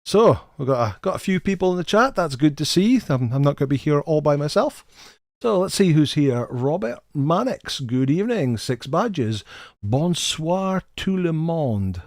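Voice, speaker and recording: A man's voice: on the high side, sing-song, moderate pace, dry recording, studio quality. A middle-aged man hosting a casual live game stream, greeting viewers in a chatty, friendly way and often repeating the first words of a sentence. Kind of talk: casual live stream chat, reading chat names.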